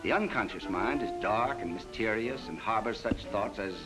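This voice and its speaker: A man plays a reassuring male psychiatrist with a fairly low voice. He explains in a calm, measured manner, as in a 1940s training film. Music plays softly behind him on the old soundtrack. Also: natural voice.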